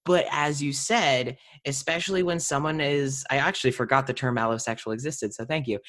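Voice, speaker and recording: A woman's voice: very deep voice, fairly quick. A woman speaking casually and earnestly in an interview, explaining her thoughts. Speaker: interview participant, actor.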